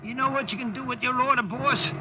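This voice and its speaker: An actor playing a wounded, cocky and defiant Brooklyn commando with a New York accent in a 1940s war radio drama. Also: male voice, extremely high pitch, fairly even intonation, a little fast. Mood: cocky, reckless. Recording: very noisy old 1940s radio recording.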